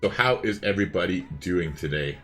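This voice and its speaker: A man's voice: sits low, lively intonation, fairly quick, fairly bright tone, faint music behind. A man hosting and co-casting a gaming livestream in casual, chatty, apologetic talk that often repeats itself. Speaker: host and co-caster of a gaming livestream. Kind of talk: casual live stream chat.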